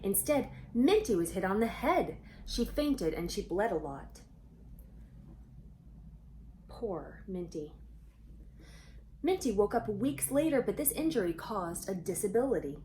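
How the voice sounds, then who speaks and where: A woman with a mid-range voice reads a storybook aloud for children. Her delivery is expressive, slipping from narration into conversational asides and questions for her young listeners. There is some light noise behind her voice. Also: medium pitch, a little slow, pauses often.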